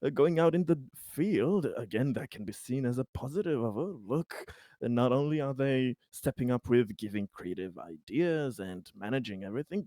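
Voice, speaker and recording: A man playing an aging, smooth-talking, manipulative politician who flatters and persuades with halting pauses in a tabletop game. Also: medium pitch, no room sound, close to an everyday voice.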